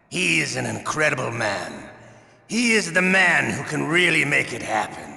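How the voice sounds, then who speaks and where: An actor playing a sadistic, theatrical older torturer in a video game, with a menacing, taunting, drawn-out delivery and a gravelly voice. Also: male voice, mid-range voice, pushed and bright, full character voice. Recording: echoey hall with long reverb.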